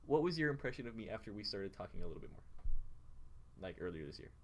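A young man with a somewhat low voice co-hosts a casual podcast. He speaks in an informal, earnest way, often working to explain himself. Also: very animated, fast, a little hiss or hum. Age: young adult. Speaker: podcast co-host. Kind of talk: casual chat.

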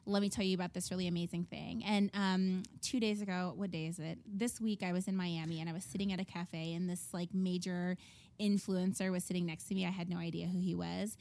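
Woman host, casual interview, fast animated delivery. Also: lowish voice, gravelly. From United States. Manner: fast, animated, quotes people in voices. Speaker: podcast host and venture capitalist.

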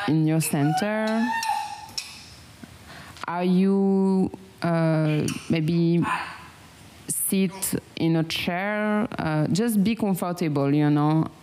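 A woman with a French accent, hosting a relaxed meditation chat and often pausing to search for words. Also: lowish voice, noisy background. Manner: halting, searching for English words, frequent fillers. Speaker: meditation channel host. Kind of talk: casual guided meditation chat.

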